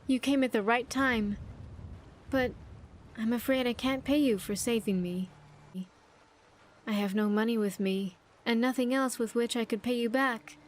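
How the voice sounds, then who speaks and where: High-voiced earnest young survivor woman, acted. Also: a little slow, a little hiss or hum, natural voice. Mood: relieved, grateful. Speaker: companion character in a game mod. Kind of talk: scripted game dialogue.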